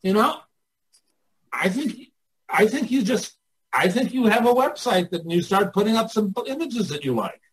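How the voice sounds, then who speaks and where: A man's voice: sits high, very animated, normal speed, husky, poor audio. An older man giving informal one-on-one coaching in a blunt, emphatic way, thinking aloud as he goes. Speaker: artists' coach and mentor.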